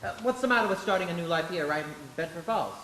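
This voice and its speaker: Decent, earnest small-town everyman, male actor, higher bright radio-play voice in a reverberant hall. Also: animated, fast talker. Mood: kindly, teasing. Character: kindly, earnest small-town building-and-loan man. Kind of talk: live radio play acting. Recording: echoey hall, faint hiss.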